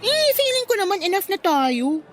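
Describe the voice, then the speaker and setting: An actress playing a feisty, gossipy mistress in a Filipino radio drama, with a sassy, exclamatory delivery. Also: female voice, very high voice, big pitch swings, normal speed. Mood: indignant. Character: feisty, gossipy mistress venting to her fellow mistress.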